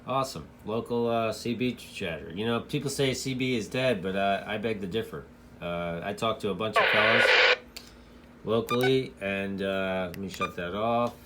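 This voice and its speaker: A man's voice: lowish voice, moderate pace, background noise. A man giving a casual, loosely explained product walkthrough as a radio hobbyist reviewer, in an informal, rambling way.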